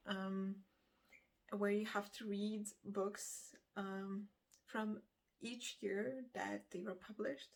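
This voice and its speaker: A woman from Lithuania, a native Lithuanian speaker, chatting casually and unscripted to camera as a book vlogger, rambling and correcting herself hesitantly as she goes. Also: medium pitch.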